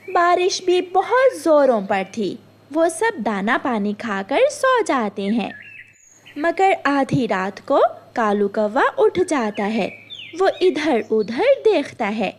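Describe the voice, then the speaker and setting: A woman narrates a children's cartoon story expressively. Her voice is high and melodic, the pace is slow and deliberate, and noise sits behind her.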